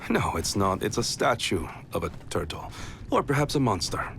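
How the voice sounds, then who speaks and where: A man's voice: on the low side, very animated, moderate pace, creaky, heavy background noise. An actor playing a skeptical, matter-of-fact male adventurer in a video game, dry and blunt. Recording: game music behind the voice.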